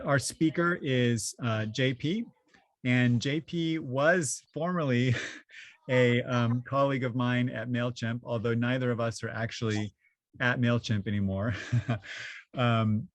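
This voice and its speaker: A man's voice: mid-range voice, no echo. A man hosting a meetup on a video call, introducing the speaker hesitantly with many fillers. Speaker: meetup host.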